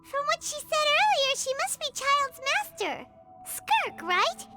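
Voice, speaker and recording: An extremely high, squeaky little fairy girl from a video game. She is childlike and excitable, swooping widely in pitch over loud music. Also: takes it slowly, full character voice. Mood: puzzled. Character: tiny, childlike, excitable female fairy companion. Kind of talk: scripted video game dialogue.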